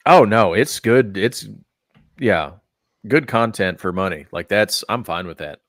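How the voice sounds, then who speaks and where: A man's voice: fairly low pitch, lively intonation, measured pace, a bit bright, no echo, studio quality. A man from Texas hosting a casual chat podcast, rambling with lots of fillers and restarts. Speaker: podcast host. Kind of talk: casual chat about wrestling and gaming.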